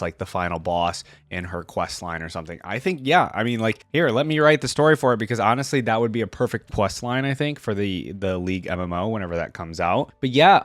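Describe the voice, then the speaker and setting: A man's voice: medium pitch, no echo. Casual man rambling through fan commentary, dry recording. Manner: informal, rambling, thinking aloud, peppered with "like". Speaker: YouTube reaction host.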